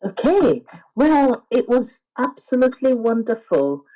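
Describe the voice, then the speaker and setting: A woman's voice: a little high, very animated, measured pace, warm tone, poor audio. A woman giving a personal account of herself in an interview as a government adviser.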